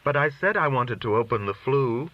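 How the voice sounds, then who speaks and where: A man's voice: mid-range voice, animated, normal speed, muffled recording, pitched above the performer's own voice, natural voice. Plaintive pious wife voiced by a man. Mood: distressed. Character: pious, self-effacing, worried wife in old-world folk tale. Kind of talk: audiobook character dialogue.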